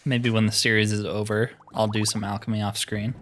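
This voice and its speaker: A man's voice: fairly low pitch, little pitch movement, brisk, fairly bright tone, slight background noise. A man giving casual, mildly exasperated commentary while playing a video game, thinking aloud as he goes. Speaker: gaming video host.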